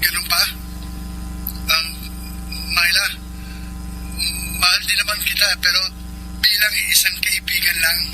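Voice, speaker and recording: A man playing a gentle, earnest young suitor in a radio drama, sincere and hesitant. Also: high voice, fairly even intonation, measured pace, pauses often, background noise. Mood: earnest, uneasy. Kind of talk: Filipino radio drama acting.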